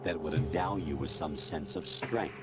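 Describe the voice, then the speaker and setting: A man voicing a cold, condescending artificial intelligence in a video game cutscene, lecturing in a measured, disdainful way. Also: rumbling low. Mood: cold contempt. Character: cold, condescending male artificial intelligence posing as human authority. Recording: loud music behind the voice. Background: loud music.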